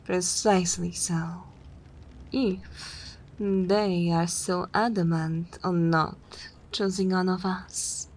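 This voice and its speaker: A woman playing a haughty, teasing vampire mistress in an ASMR roleplay, speaking formally and imperiously in a soft, breathy way. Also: fairly low pitch, fairly even intonation, slow pace, poor audio. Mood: scheming. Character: haughty, teasing female vampire mistress, possessive but willing to share.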